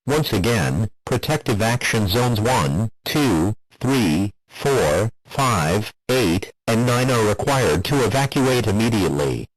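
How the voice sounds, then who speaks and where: Low-pitched synthetic male alert announcer. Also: slow pace, few pauses, no echo, rough recording. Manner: formal, flat synthetic text-to-speech delivery. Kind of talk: scripted emergency broadcast announcement.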